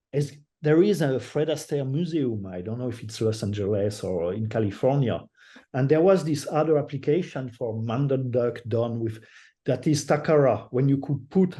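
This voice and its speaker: An older man with a French accent, a native French speaker, talking as an interview guest in a halting way, often restarting his phrases. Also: medium pitch, mellow. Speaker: guest artist. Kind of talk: casual interview over a call.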